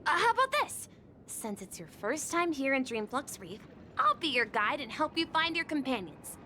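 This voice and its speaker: A woman's voice: sits high, sing-song, normal speed, pushed and bright, slight background noise, heavily acted. A woman voicing a polite, eager, innocent young boy bellboy in a video game, earnest and slightly hesitant. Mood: reassuring, helpful.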